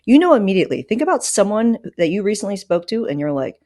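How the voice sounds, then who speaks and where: A woman's voice: medium pitch, very animated, quick pace, a little mellow, no echo, studio quality. A woman hosting a podcast, talking casually and informally with an emphatic delivery. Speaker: podcast host. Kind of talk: casual conversational podcast talk.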